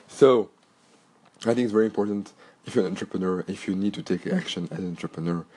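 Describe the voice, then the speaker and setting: A middle-aged man with a French accent and a very low voice, a little hoarse from a cold. He hosts his own podcast, talking alone in an earnest, motivational way and often repeating phrases for weight. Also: a little mellow. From Belgium. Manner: earnest, repetitive and motivational, with restarts. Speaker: podcast host. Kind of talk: solo podcast monologue.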